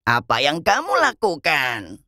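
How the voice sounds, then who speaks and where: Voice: medium pitch, huge pitch swings, a little slow, sharp tone, dry recording, clean studio sound, exaggerated voice. An actor playing a kindly, heroic old grandpa shark in a children's cartoon. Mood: stern, kindly. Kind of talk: children's cartoon character acting.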